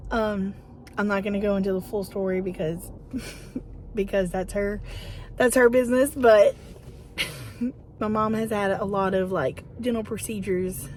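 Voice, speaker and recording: A hoarse woman, rambling through a chatty story to her viewers from a moving car. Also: mid-range voice, steady tone, measured pace, pauses often. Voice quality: hoarse, worn from singing. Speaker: vlogger. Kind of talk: casual chat to camera while driving. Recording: loud car road noise. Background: loud road and car noise.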